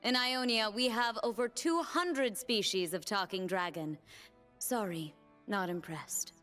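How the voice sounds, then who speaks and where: An actress playing a stern, proud, sharp-tongued warrior leader in a video game, curt, commanding and scornful. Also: female voice, mid-range voice, a little slow, soft background music, close to an everyday voice. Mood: proud, dismissive. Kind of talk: video game character voice lines.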